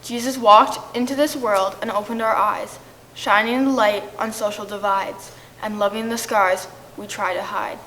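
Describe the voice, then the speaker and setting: A woman's voice: medium pitch, steady tone, moderate pace, a bit bright, slight background noise, rough recording. A woman giving a scripted poetic reading at a church service. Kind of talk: scripted poetic reading, shared among readers.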